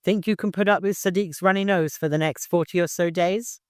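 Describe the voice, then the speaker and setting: A man's voice: high voice, steady tone, a little fast, clear, resonant tone, dry recording, clean studio sound, pitched above the performer's own voice, close to an everyday voice. A man voicing a nervous but determined chamberlain in an audiobook, polite yet blunt. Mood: brisk, blunt.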